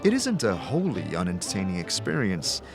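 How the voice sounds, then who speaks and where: Low-voiced male storyteller over music. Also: huge pitch swings, normal speed. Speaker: game master narrator. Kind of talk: scripted third-person story narration. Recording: music behind the voice.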